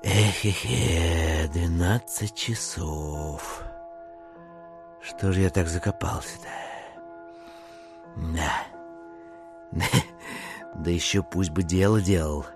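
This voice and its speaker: An actor playing a sly, self-amused gentleman schemer who muses aloud theatrically in an audio drama. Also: sits low, very slow, long pauses, soft background music, heavily acted. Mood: self-chiding.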